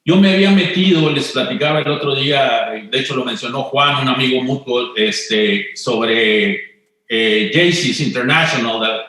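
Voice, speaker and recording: A man's voice: medium pitch, measured pace, pushed and bright. Echoey Monterrey-accented man telling stories. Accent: northern Mexican (Monterrey). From Monterrey, Mexico. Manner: anecdotal storyteller, chatty. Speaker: guest, business executive. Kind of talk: conversational interview. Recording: very echoey room.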